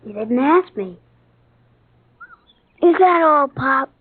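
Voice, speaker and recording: A woman's voice: high voice, expressive, slow. A woman playing an earnest, kind-hearted, matter-of-fact schoolboy with an American accent in an old-time radio drama. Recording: tinny, band-limited old radio broadcast.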